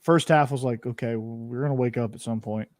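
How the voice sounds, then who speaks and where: A man's voice: mid-range voice, steady tone, brisk, darkish tone, no room sound. Chatty forty-year-old man, dry close sound. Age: 40s. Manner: rambling, self-interrupting, chatty. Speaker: podcast co-host. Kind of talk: casual chat.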